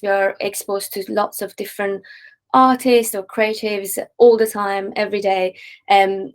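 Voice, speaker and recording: A young woman talks with a gravelly, creaky edge, and her pitch stays fairly even. She speaks casually, dropping in likes and ums as she explains her work. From Iran. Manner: relaxed, with frequent likes and ums. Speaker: interviewee, freelance artist. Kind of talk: casual interview answers.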